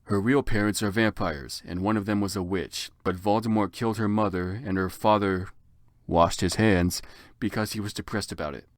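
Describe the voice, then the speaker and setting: A man's voice: lowish voice, steady tone, normal speed, no room sound, studio quality. A man narrating fan fiction as a comic dramatic reading, in a theatrical, exaggerated manner.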